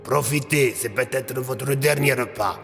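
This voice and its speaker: A menacing male gang boss acted out by a man in an audio drama, taunting and commanding. The voice is mid-pitched and gravelly, with long reverb and faint music behind it. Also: unhurried, fairly bright tone. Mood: mocking menace.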